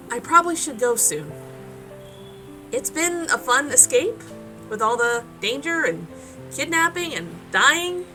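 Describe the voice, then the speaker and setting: Wry, animated girl adventurer, bright voice. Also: a little high, exaggerated voice, low-quality recording. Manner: wry, earnest, conversational. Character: spirited, wry, warm-hearted girl adventurer. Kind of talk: naturalistic audio drama acting.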